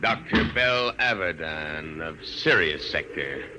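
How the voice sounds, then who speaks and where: An actor playing a scornful, condescending imperial governor and soldier in a 1950s radio drama. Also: male voice, very deep voice, animated, takes it slowly, exaggerated voice. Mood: scornful, amused. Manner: condescending, worldly. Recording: faintly noisy 1950s radio recording.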